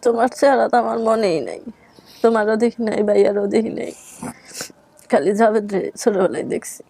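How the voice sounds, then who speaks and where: A woman's voice: fairly high pitch, fairly quick, vocal fry, warm tone, no room sound. A woman with a Bangladeshi accent, casually asking relatives questions and recalling childhood as a guest. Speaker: guest reunited with family.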